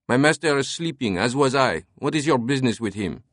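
Man putting on an irritated French manservant, pitched up. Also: expressive, fairly quick, a bit bright, dry recording, studio quality, lightly acted. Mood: irritated, curt. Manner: curt, formal, dismissive. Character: irritated, stiff French manservant guarding his master's sleep.

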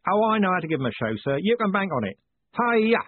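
A man's voice: high-pitched, sing-song, fast, no room sound, pitched above the performer's own voice. A man voicing a cheerful, loyal coachman with a folksy, deferential and eager way of talking, as in an audiobook. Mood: gleeful. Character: cheerful loyal cockney-style coachman, proud and sly. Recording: narrow phone-like sound.

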